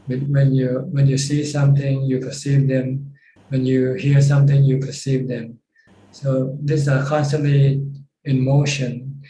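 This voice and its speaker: A man with a soft-edged, very mellow voice explains Buddhist teaching step by step in a live question session. He pauses and repeats words as he goes. The room adds clear echo, with faint noise behind him. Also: mid-range voice. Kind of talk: informal live dhamma question-and-answer teaching.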